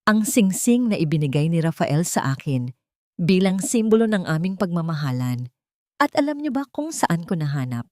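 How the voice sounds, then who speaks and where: A woman's voice: on the low side, sing-song, moderate pace, a little mellow, no room sound. A scheming, falsely sweet fiancée played by a woman lays on honey over steel as she accuses. The reading is close and dry, with no room sound.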